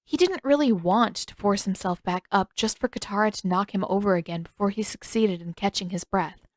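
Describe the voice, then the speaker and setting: A woman narrates a piece of fiction in audiobook style. Her voice sits at a medium pitch, and the recording is dry, with little trace of the room. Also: a little fast, no room sound.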